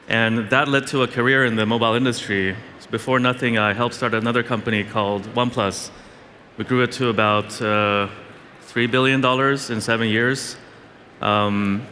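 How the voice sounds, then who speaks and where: A man from Sweden in his thirties gives casual interview answers. His voice is bright and mid-pitched, heard in a reverberant hall with faint noise. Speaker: tech founder, interview guest. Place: event stage in a large venue.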